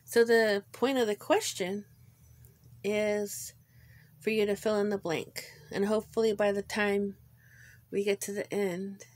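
A woman leading a guided visualization in a gentle, informal way, pausing between short phrases. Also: medium pitch, steady tone. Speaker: guided meditation leader. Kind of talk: guided meditation, visualization narration.

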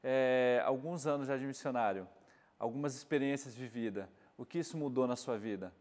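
A man's voice: medium pitch, normal speed, a little mellow. A man in his thirties with a Brazilian accent, interviewing conversationally as a TV host in a studio with a reverberant sound. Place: TV studio, seated. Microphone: lapel mic.

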